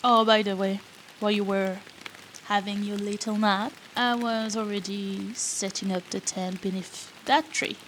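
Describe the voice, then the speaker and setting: A woman's voice: medium pitch, steady tone, measured pace, fairly bright tone, close to an everyday voice, rough recording. A woman playing a bratty, foul-mouthed female rogue in a fantasy audio roleplay, teasing the listener with cheeky, sarcastic banter. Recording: rain noise behind the voice.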